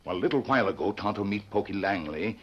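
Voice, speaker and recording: A husky-voiced loyal Indian companion from a radio western, speaking in clipped broken English with no articles. The sound is a muffled vintage radio recording. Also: male voice, on the low side, animated, fast talker. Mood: earnest, reporting. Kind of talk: old-time radio western acting.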